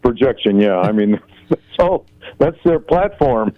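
A man's voice: medium pitch, lively intonation, measured pace, no echo. A man calling in to a talk radio show, agreeing readily and laughing as he talks. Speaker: caller. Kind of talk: phone-in call. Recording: tinny phone line.

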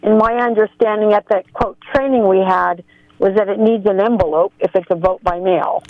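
A chatty woman calling in to talk radio, telling stories and laughing as she goes. She comes through a muffled, dull phone line. Her voice sits at a medium pitch with a dry sound. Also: normal speed, dry recording. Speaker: caller, poll worker. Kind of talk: phone-in storytelling.

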